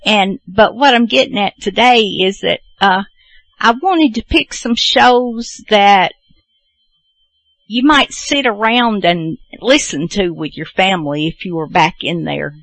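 A woman's voice: mid-range voice, unhurried, fairly bright tone, dry recording. A woman hosting a radio show, chatting casually in a rambling, self-deprecating way as she introduces programs.

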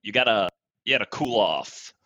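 A man as a podcast guest expert, giving measured, approving, mock-serious feedback in a comedy chat. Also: on the low side, expressive, sharp tone, dry recording, dull audio. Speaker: guest playing an expert educator giving critique.

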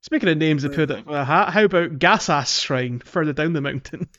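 A man's voice: on the high side, fairly quick, pushed and bright, dull audio. A man co-hosting a casual gaming podcast, chatting in a jokey, teasing way. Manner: jokey, teasing banter with co-hosts. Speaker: podcast host.

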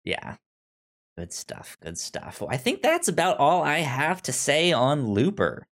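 A man co-hosting a podcast, chatting casually about films in a halting way, often repeating words and restarting. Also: fairly high pitch, huge pitch swings, fairly bright tone. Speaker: podcast co-host. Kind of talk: casual film review chat.

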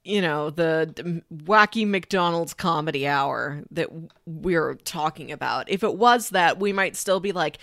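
A woman's voice: low voice, unhurried, hardly any pauses, a bit bright. A woman co-hosting a podcast, chatting informally and slangily about a show with lots of "like" dropped in. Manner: informal, slangy, uses "like" a lot. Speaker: podcast co-host reviewing anime. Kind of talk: casual chat.

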